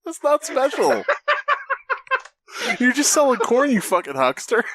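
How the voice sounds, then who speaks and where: Co-host, swearing banterer, very lively pitch, dry close sound. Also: unhurried, dry recording. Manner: swears often, mock outrage. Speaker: podcast co-host. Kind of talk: casual comedic banter.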